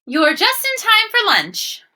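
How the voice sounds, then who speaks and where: Woman voicing a high, sing-song storybook mother. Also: huge pitch swings, takes it slowly, pushed and bright, slight background noise, full character voice. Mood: welcoming. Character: hospitable mother, storybook character.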